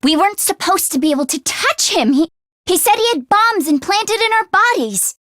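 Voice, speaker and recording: Very high-pitched, anxious teenage game girl. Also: animated, moderate pace, fairly bright tone, no echo, studio quality. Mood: anxious, worried. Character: anxious, earnest teenage girl fighter, tech-minded. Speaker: voice actress. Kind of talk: video game voice acting.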